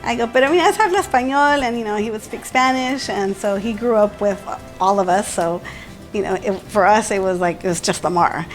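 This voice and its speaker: A woman's voice: mid-range voice, brisk, poor audio. Chatty woman, storytelling, bits of Spanish. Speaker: interviewee, childhood neighbor. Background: soft music.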